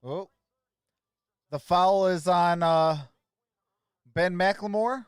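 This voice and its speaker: A man hosting a stream, casually calling basketball and chatting about sports in an offhand, halting way. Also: high-pitched, fairly even intonation, smooth, no echo, clean studio sound, faint music behind. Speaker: stream host doing his own play-by-play. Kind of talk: casual play-by-play and sports chat.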